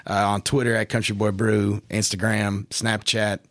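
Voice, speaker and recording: A man's voice: on the low side, monotone, moderate pace, no echo, clean studio sound. A man chatting as a guest on a podcast, telling stories in a loose, rambling way full of fillers. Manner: rambling, filler-heavy storytelling. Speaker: brewery guest. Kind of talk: casual podcast chat. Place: indoor studio room.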